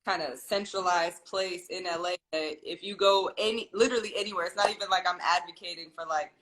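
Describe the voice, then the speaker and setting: A woman from California is a guest in a relaxed interview. Her voice is bright, and she speaks thoughtfully. She rambles a little and gathers her thoughts as she goes. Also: fairly low pitch, fairly quick, rough recording. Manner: thoughtful, rambling, many ums and restarts. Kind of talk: casual interview chat.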